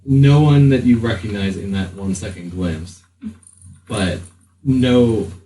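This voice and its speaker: A man running a tabletop game as game master, narrating off the cuff with pauses mid-sentence. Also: fairly low pitch, mellow. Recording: echoey, noisy room, distant mic.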